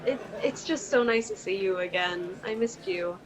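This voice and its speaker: A woman's voice: medium pitch, moderate pace, muffled recording, a crowd in the background, lightly acted. A young woman playing an ambitious daughter in an amateur online drama, speaking earnestly. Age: young adult.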